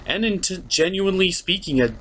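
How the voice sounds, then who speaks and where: Animated man ranting, over audible background noise. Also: high voice, a little fast. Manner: theatrical, exasperated, swings into shouted outbursts. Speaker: host of a cartoon review show. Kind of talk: opinionated review commentary with exasperated rants.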